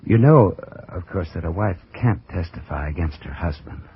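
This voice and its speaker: A man's voice: deep voice, lively intonation, normal speed, husky, exaggerated voice. An actor playing an anxious, guilty American businessman in an old radio suspense drama, speaking in a tense, clipped, confessional way. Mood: angry, cornered. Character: guilty, anxious businessman narrating his own crime, cornered husband. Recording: muffled vintage radio recording with music.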